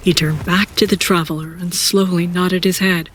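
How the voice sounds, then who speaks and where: A woman playing an introspective seasoned warrior who narrates her own story in an audio drama, reflective and deliberate. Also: lowish voice, steady tone, a little hiss or hum, natural voice. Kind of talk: first-person scripted audio drama narration.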